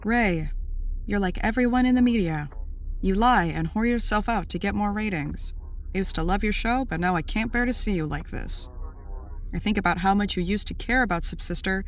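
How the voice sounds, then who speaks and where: An actor playing a deadpan, dry podcast sidekick in casual audio drama banter. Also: mid-range voice, normal speed, faint music behind, natural voice. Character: deadpan podcast sidekick, reluctant and dryly amused.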